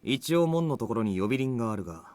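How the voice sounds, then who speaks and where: A blunt, wary young man, as an actor voices him, at a middle pitch. He speaks plainly and matter-of-factly, with a sardonic edge. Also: animated, very fast, a little mellow, natural voice. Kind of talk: anime game voice acting.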